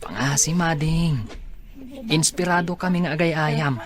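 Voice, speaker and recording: A man's voice: a little high, fairly even intonation, unhurried, natural voice. A man playing an earnest young basketball player in a Filipino radio drama, speaking politely and coaxingly. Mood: pleading.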